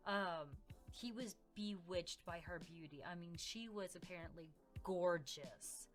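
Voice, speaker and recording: A woman's voice: fairly low pitch, unhurried, faint music behind. A woman co-hosting a podcast in character as a fey demon, telling family stories in an informal, hesitant way. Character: fey demon woman gossiping about humans. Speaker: podcast co-host.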